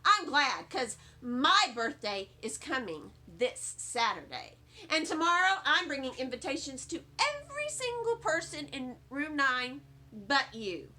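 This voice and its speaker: A woman's voice: high voice, big pitch swings, measured pace, bright, forceful tone, heavily acted. A woman voicing a mean, bragging little boy who taunts and hollers in a children's storybook. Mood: spiteful. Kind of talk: storybook read-aloud for children.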